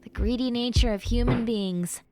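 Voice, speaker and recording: A woman's voice: a little high, normal speed, loud music behind the voice, natural voice. A woman playing a stressed, caring young best friend, venting earnestly and intimately to the listener in a conversational audio roleplay. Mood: upset, earnest. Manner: conversational, sighing, rambling, earnest.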